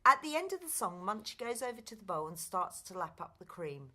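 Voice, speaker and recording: A woman's voice: mid-range voice, sing-song, fairly quick, pushed and bright. A woman reading scripted narration and stage directions for a play.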